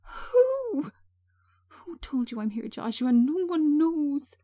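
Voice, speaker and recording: An anguished, bitter woman, acted by a female reader in an audio drama. Her voice rises high and swings about as she pleads and accuses, distraught and wounded. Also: big pitch swings, slow pace, warm tone, full character voice. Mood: anguished, accusing. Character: traumatised woman, distraught, bitter, drinking alone. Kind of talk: dramatic screenplay reading.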